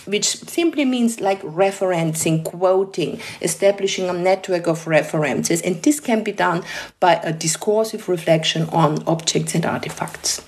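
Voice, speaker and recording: A middle-aged woman speaks English in a low voice. She answers reflectively, pausing to search for words, with the odd soft laugh. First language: German. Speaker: artist and researcher.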